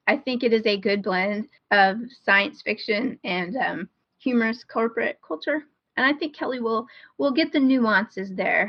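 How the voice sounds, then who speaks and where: A woman's voice: mid-range voice, no room sound, lo-fi sound. A woman co-hosting a podcast in a casual, chatty style. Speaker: podcast co-host.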